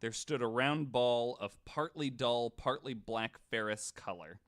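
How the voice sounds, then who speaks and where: A man's voice: mid-range voice, a little slow, a bit bright. A man hosting a podcast in casual storytelling style, irreverent and sweary, often stammering and repeating words. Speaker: podcast host. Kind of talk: casual storytelling chat, sometimes reading quotes aloud.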